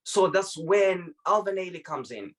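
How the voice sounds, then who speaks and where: A man's voice: sits high, animated, a little slow. A man originally from Zimbabwe speaking reflectively in a video-call discussion, often repeating words as he searches for them. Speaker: panel participant.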